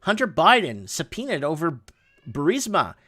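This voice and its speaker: A man's voice: a little high, wildly swooping pitch, normal speed, pushed and bright. Sarcastic man rambling through news headlines. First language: Portuguese. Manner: rambling, sarcastic asides, frequent restarts. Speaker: livestream host. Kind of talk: casual commentary reading news headlines.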